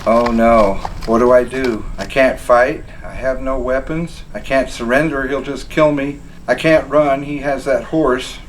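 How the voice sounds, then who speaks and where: A man doing a brash, excitable young sergeant. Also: medium pitch, a little slow, few pauses, slight background noise, close to an everyday voice, poor audio. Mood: panicked. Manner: frantic, blustering, brash. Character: excitable boastful young male colonial sergeant.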